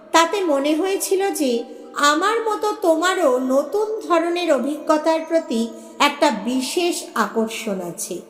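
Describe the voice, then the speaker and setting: A woman voicing a grave, blunt, eccentric man in his late fifties in an audio story, slow, earnest and admonishing. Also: high voice, a bit bright, heavily acted. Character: eccentric, grave, blunt 58-year-old gentleman. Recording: echoey with long reverb.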